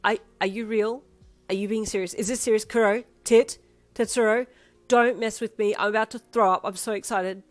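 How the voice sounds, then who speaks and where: A woman's voice: mid-range voice, fairly even intonation, normal speed, faint music behind, pitched above the performer's own voice, natural voice. A woman voicing an emotional, overwhelmed nineteen-year-old girlfriend, gushing and dramatic, in a romance story. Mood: stunned, excited. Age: young adult.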